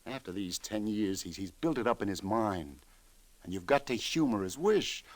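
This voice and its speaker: A low-pitched, sympathetic police lieutenant played by a male actor from an old radio detective drama. He is plain-spoken and earnest, picking his words haltingly. The sound is close and dry. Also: lively intonation, no room sound, close to an everyday voice. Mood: reluctant, regretful. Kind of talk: 1940s-50s radio drama acting.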